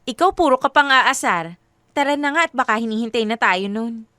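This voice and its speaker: A woman's voice: a little high, expressive, normal speed, sharp tone, no echo, clean studio sound. A woman playing a nervous, bashful young woman in a radio drama, flustered and hesitant as she hides her feelings. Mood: bashful, teasing. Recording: music bed under the voice.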